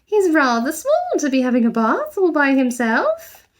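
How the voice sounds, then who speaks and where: Woman playing kindly storybook mum, middle-aged, earnest, persuasive, emphatic, very animated pitch swings. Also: unhurried, exaggerated voice. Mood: surprised, concerned.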